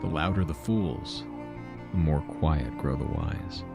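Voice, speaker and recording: An actor playing a weary, regretful aging man, narrating his own story in a measured, reflective way for a fiction podcast. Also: extremely low pitch, creaky, exaggerated voice. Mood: somber, reflective. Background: music.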